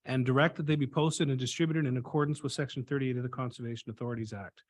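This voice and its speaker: Man, meeting chair, reads motions briskly, medium pitch. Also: fairly even intonation. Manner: brisk, procedural. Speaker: board chair.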